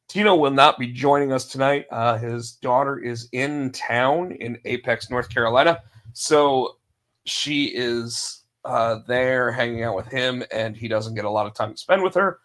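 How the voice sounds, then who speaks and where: A man hosting a casual podcast on his own, under the weather and losing his voice, rambling with lots of fillers, restarts and throat clearing. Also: mid-range voice, unhurried, fairly bright tone. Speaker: podcast host. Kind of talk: solo casual wrestling podcast talk.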